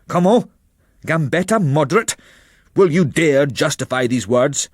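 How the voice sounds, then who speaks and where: Man acting a blustering, hot-tempered old soldier, raised pitch. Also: lively intonation, unhurried, dry recording, studio quality. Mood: furious. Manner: shouting, challenging.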